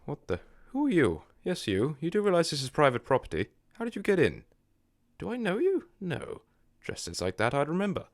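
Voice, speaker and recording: A man plays a guarded homeowner in his thirties for an audio drama. His pitch moves around a lot as he fires off clipped, suspicious questions. The sound is dry and close. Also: huge pitch swings, moderate pace, no echo. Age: 30s.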